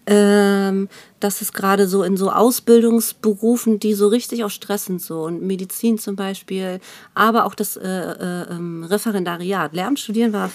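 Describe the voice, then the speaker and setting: A woman with a medium-pitched voice talks in a casual, conversational style. She is colloquial and blunt, using plenty of slang, as a podcast co-host in an informal chat. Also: steady tone, moderate pace.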